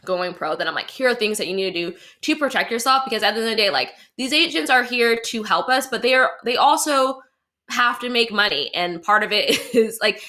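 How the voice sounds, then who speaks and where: A woman's voice: a little high, expressive, a little fast, pushed and bright. A young woman hosting a casual, chatty online show in a playful, teasing, informal way. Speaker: talk show host. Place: remote livestream.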